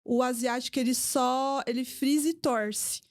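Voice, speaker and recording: A woman's voice: high voice, steady tone, unhurried, no echo, clean studio sound. A woman who grew up between Brazil and Japan, a podcast guest telling stories in a hesitant, laughing way. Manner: storytelling, hesitant, laughs often. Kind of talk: casual conversational interview.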